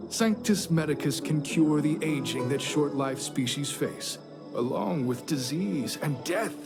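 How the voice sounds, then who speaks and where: A man playing a furtive, devout cult recruiter in a video game, speaking in a formal, reverent and persuasive way. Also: on the high side. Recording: game music behind the voice.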